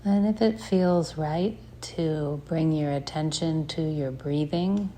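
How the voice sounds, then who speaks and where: A woman leading a guided meditation, speaking softly and gently with long pauses between phrases. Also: low-pitched, slight background noise. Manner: slow, soft, gentle guidance with long pauses. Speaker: meditation teacher.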